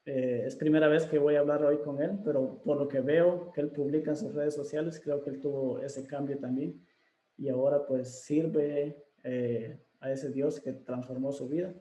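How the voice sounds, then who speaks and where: A man's voice: on the high side, steady tone, normal speed, dark tone, reverberant, poor audio. A man hosting a video interview, speaking casually with many fillers and restarts. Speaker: interview host.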